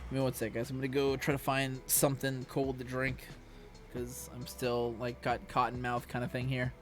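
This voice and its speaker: Hoarse young man, flat laid-back voice. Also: mid-range voice, moderate pace. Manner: laid-back, chatty, talks to viewers. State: hoarse, scratchy voice. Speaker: live streamer. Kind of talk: casual live-stream chat. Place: room at home. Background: music playing.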